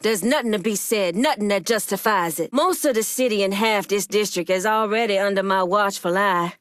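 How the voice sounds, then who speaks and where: An actress voicing a proud, commanding woman crime boss in a video game, with a firm, deliberate and authoritative delivery. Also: medium pitch, no echo, clean studio sound, close to an everyday voice. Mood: angry, defiant.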